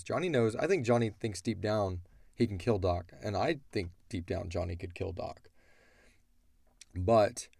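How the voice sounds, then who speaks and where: A man with a deep, gravelly voice discusses a story casually. He rambles in a colloquial way, and the sound is close and dry. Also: darkish tone, no echo. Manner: rambling, colloquial, often voicing characters' thoughts. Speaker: audio drama creator answering listener questions.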